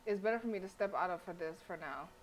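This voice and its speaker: A woman's voice: lowish voice, fast, slight background noise, low-quality recording. A woman streaming a game, reading story text aloud with blunt, sassy, casual asides. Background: game music.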